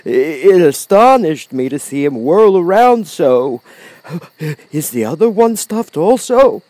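A man voicing a big cowardly lion from a storybook, ashamed and easily astonished, with a drawn-out, halting delivery. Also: fairly high pitch, very animated, mellow, no echo, pitched above the performer's own voice. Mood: astonished, curious. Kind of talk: storybook character voice.

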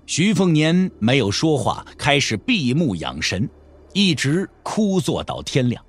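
A man's voice: on the high side, very animated, measured pace, breathy edge. A man giving dramatic scripted narration as the storyteller of a martial-arts audio drama.

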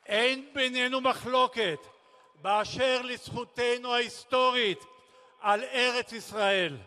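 A man delivers a formal rally speech through a big, reverberant public-address system. His voice is very high for a male and has a bright, forceful edge. He speaks solemnly and declaims in deliberate phrases, leaving weighty pauses between them. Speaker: speaker at a memorial rally, former military commander.

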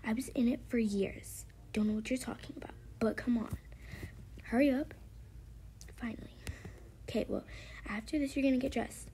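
A woman's voice: a little high, moderate pace, long pauses, warm tone, slight background noise, close to an everyday voice, rough recording. A woman voicing a stern, exasperated mother who scolds impatiently in pretend-play family roleplay. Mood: bossy, impatient.

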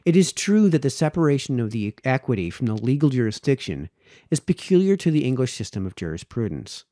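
A man reads formal prose aloud in a measured, deliberate way, phrase by phrase, close to the microphone. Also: mid-range voice, mellow, no echo. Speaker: reader, host of a read-aloud series. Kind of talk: reading aloud from a book of formal essays. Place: small home office, indoors. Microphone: close boom-arm podcast microphone, headphones.

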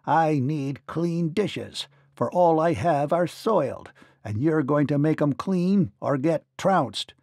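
A man voicing a stern, menacing giant sorcerer with a slow, commanding delivery in a storybook. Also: fairly high pitch, darkish tone, no room sound, studio quality.

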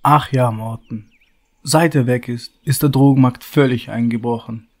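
A man's voice: medium pitch, fairly even intonation, moderate pace, natural voice. A man playing a blunt, crude uncle in an audio drama parody. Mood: gruffly casual. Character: blunt, coarse uncle giving lifts.

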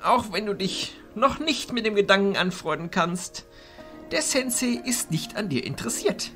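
A man playing a stern, formal archchancellor of mages in a fantasy audio drama, speaking in a commanding, deliberate way. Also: sits high, expressive, bright, forceful tone, faint music behind, heavily acted. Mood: solemn, cautioning. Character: stern authoritative male archchancellor of illusionist mages.

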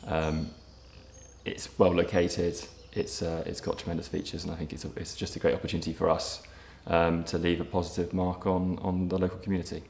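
A man's voice: low voice, level tone, gravelly, darkish tone, roomy sound, a little hiss or hum. Man, thirties, developer being interviewed, hesitant with ums, faint room ambience. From England. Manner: measured, hesitant with frequent ums and restarts. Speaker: property developer. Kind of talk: documentary interview. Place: empty brick factory room, indoors, large.

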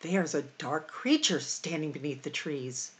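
A middle-aged woman hosting a library storytime, reading picture books aloud in a deliberate, expressive way for young children. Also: deep voice. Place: small room at home or office, indoors.

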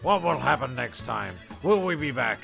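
A man's voice: medium pitch, big pitch swings, normal speed, vocal fry, music in the background. A man delivering scripted comic narration in a dry, mock-dramatic, crude way. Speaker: narrator of a comedy sketch series.

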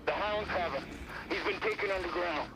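A man's voice: high voice, moderate pace, noisy background, close to an everyday voice. An actor playing a grim, dutiful male soldier in a video game, reporting formally and firmly. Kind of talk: video game cutscene acting.

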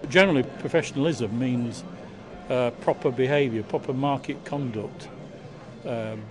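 Brief: older male broker, measured list-like delivery, expo crowd chatter behind. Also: mid-range voice. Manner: measured, listing points one by one. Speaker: insurance broker. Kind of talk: vox pop interview answer. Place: busy indoor exhibition hall.

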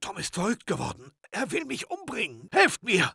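A man's voice: sits high, huge pitch swings, normal speed, creaky, sharp tone, slightly rough, no echo, exaggerated voice. An actor playing a friendly middle-aged mob gunsmith in a German game dub, chatty salesman patter that breaks into panicked yelps. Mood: panicked pleading. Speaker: game character voice clips.

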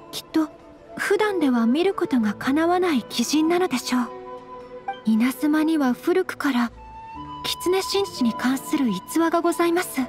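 Gentle anime game noblewoman, high voice, courteous honorific speech, composed, faint music behind. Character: polite, refined, gentle young noblewoman of fantasy Japan. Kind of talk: Japanese anime game voice acting.

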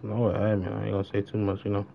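A man's voice: on the low side, monotone, rapid-fire, creaky. Young American male game streamer, casual slangy talk, repeats short phrases, muffled mic with light noise. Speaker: livestreamer playing a roleplay game. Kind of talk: casual livestream gaming chat with slang.

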